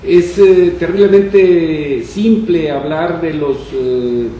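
In a very reverberant room, picked up a little far from the microphone, an older man addresses a panel event. His voice is soft-edged and mellow, and he speaks in deliberate, formal, measured phrases. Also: fairly high pitch. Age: older, 60s or 70s. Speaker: panel speaker on science fiction. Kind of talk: public talk at a discussion panel. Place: indoor room at a panel table. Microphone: shared table microphone on stand. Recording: echoey room, distant mic, faint noise.

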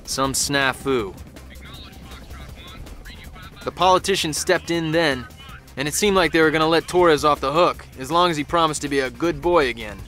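A cynical American soldier narrates a war game in colloquial, sardonic style. His voice is forceful and bright, a little high for a man. Faint music plays behind him. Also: fairly quick. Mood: wry, resolute. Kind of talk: dramatic scripted first-person narration.

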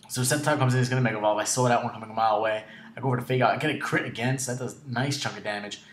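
A man with a raspy, sore voice, slightly short of breath, narrating a video game battle in a casual, halting way. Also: on the low side, fairly bright tone, echoey room. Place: indoor room at home.

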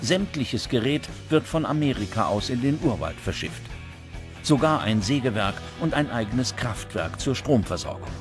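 A man narrates a documentary from a script. His voice sits at a middling pitch, with music clearly audible behind him. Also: sing-song, moderate pace. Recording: music under the voice. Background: background music.

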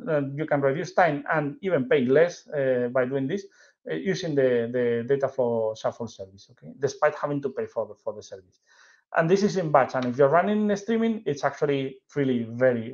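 Man, Spanish accent, fairly high voice, technical presenter, informal, halting delivery, frequent checking tags, lo-fi video-call sound. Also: darkish tone. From southern Spain. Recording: dull, lo-fi webcam audio.

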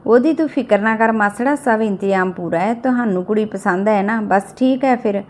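A woman voicing a brisk, pushy male village matchmaker in an audio story. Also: medium pitch, natural voice. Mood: assuring, brisk.

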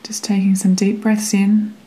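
A woman guiding a meditation in a gentle, soothing way, with a soft, sometimes breathy voice and long pauses between phrases. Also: medium pitch, little pitch movement, low-quality recording. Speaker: meditation guide. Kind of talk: guided meditation narration.

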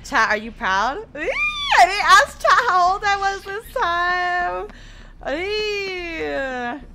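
A woman's voice: very high voice, sing-song, takes it slowly, noisy background. A woman in her twenties from the United States, chatting casually with her stream viewers and reading their messages aloud while she plays. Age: 20s. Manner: chatty, laughs often, reads chat aloud.

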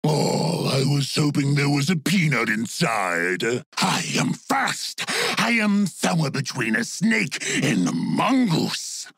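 An actor voicing a goofy, excitable blue orc shaman for a video game, gravelly and exaggerated, with a childlike, dim but gleefully destructive manner. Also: fairly high pitch, takes it slowly, no echo, clean studio sound, exaggerated voice. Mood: boastful, goofy. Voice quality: gravelly, raspy. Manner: exaggerated, childlike, simple-minded delivery. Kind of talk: video game character voice lines.

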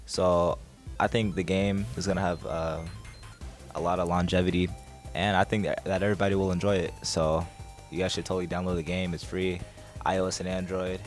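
A man's voice: sits low, flat delivery, fairly quick. A man presenting a video game segment in scripted narration. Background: music.

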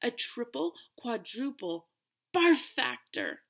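A woman voicing an excitable, whiny young boy who wails in high, shrill outbursts. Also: slow pace, creaky, full character voice. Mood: insistent.

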